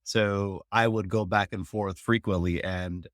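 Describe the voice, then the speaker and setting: Interview guest, male, 30s, from New York. Lower register, earnest personal storytelling, close dry sound. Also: fairly even intonation, unhurried, fairly bright tone, dry recording. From New York, United States. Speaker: interview guest, technology executive.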